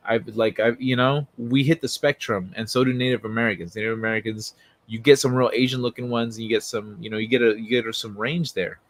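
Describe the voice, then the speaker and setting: A man hosting a livestream in an informal, excitable, sweary way, talking casually and reading things aloud. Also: mid-range voice, a little fast, no room sound. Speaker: livestream host.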